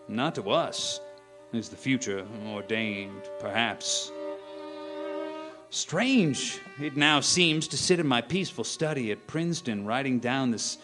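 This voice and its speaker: A man playing a grave, haunted professor, narrating a radio play in a solemn, deliberate, theatrical way. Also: medium pitch, natural voice. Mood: solemn, reflective. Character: grave, haunted male professor recounting his survival.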